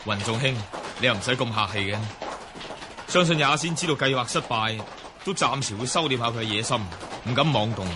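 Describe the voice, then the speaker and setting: A man's voice: mid-range voice, expressive, fairly quick, background noise, lightly acted. An actor playing a courteous, heroic young nobleman in a Cantonese radio drama, speaking formally and earnestly.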